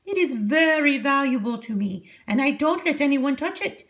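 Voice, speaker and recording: A woman puts on a little old witch from a children's storybook, pitched way up high. She is kindly but bossy, issuing firm instructions in a lively singsong. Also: moderate pace. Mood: firm instructing.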